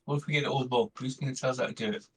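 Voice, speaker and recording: A man with a raspy, husky voice talking in character as a tabletop roleplay player. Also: medium pitch, very fast.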